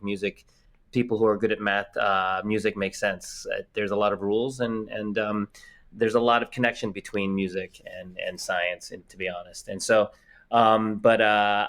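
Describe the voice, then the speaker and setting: A man's voice: fairly low pitch, a bit bright. A man in his forties, born in the Philippines and raised in Virginia, speaking. He is an actor talking about his life in an interview. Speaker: guest actor. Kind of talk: interview conversation.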